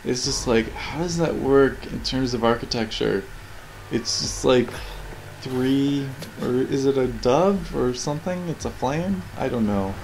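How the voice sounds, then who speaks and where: A man's voice: mid-range voice, unhurried, creaky, darkish tone, slight background noise, rough recording. A man giving casual, opinionated commentary on game cutscenes, rambling and musing in questions. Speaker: video game reviewer. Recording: voice-over with game music behind.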